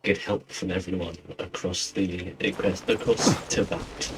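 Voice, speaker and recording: A man giving casual, musing game commentary as a streamer, in a halting way. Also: low-pitched, sing-song, very noisy. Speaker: gaming streamer.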